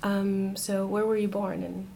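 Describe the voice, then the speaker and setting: A woman's voice: mid-range voice, level tone, measured pace, gravelly, warm tone, a little hiss or hum. A woman interviewing someone for an oral history project, asking short, polite questions.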